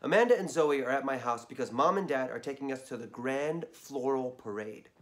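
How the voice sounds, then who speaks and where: A hoarse man reading a children's story aloud, chatting in asides to his listeners. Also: mid-range voice, fairly quick.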